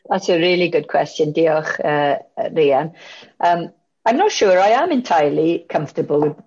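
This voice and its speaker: A woman's voice: low-pitched, darkish tone. A woman, answering a question at an online conference, candid and reflective, with many fillers and restarts. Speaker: guest speaker. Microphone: video call. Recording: muffled video call audio.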